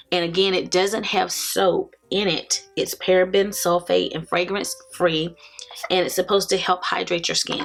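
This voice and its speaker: Raspy-voiced woman in her forties. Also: on the low side, a bit bright. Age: 40s. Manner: chatty, laughs often. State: raspy from allergies. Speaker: beauty vlogger. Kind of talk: casual haul vlog.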